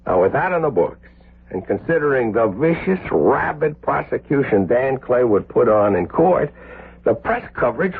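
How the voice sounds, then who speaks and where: A man's voice: medium pitch, very animated, moderate pace, husky, heavily acted. An actor playing an intense, earnest male surgeon with emphatic delivery in an American 1970s radio drama. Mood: agitated. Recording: muffled, lo-fi old radio broadcast.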